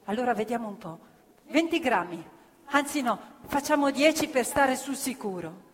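An actress playing a poor but proud worker's wife in a stage play, speaking plainly with dry understatement. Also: female voice, on the high side, expressive, moderate pace, a little hiss or hum, close to an everyday voice. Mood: wry. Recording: live theatre with long reverb.

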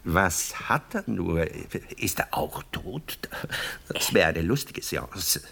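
Radio drama male rival: deep, very animated pitch, husky, theatrical, evasive and stammering. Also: slow, full character voice. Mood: wry, wistful. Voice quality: husky, breathy. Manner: stammering, theatrical, wheedling excuses. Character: evasive, lovelorn, sardonic male friend and rival, Bruno.

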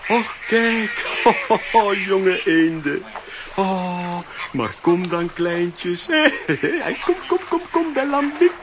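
An actor playing a bumbling, kindly, easily flustered cartoon uncle in a children's audio drama, exclaiming and muttering to himself. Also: male voice, high voice, expressive, very slow, noisy background, exaggerated voice. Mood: cooing, delighted.

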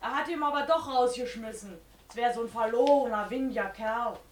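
A woman's voice: a little high, a little fast, a little hiss or hum, natural voice. An actress as a stubborn girl in an echoey room. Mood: confiding. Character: sulky, stubborn working-class teenage daughter. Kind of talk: naturalistic dialect radio play.

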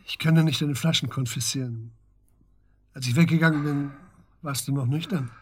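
A man acting a restrained police detective in a naturalistic German radio drama, speaking in a measured, matter-of-fact and slightly hesitant way. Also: on the high side, breathy edge. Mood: grave, subdued. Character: restrained, probing male police detective and old friend.

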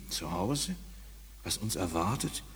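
An actor playing a blunt, skeptical reptilian alien officer in a science fiction radio drama. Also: male voice, fairly low pitch, darkish tone, full character voice. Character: reptilian alien commander, doubtful subordinate turned defiant. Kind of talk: 1980s German radio drama acting. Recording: studio radio play with long reverb.

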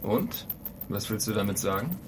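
Grumbling, lowish-voiced astronaut. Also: male voice, sing-song, moderate pace. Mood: irritated. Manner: grumbling, blunt, argumentative. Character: irritable, ambitious male astronaut in training, impatient and stubborn. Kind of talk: radio play acting. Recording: clear noise bed behind the voice.

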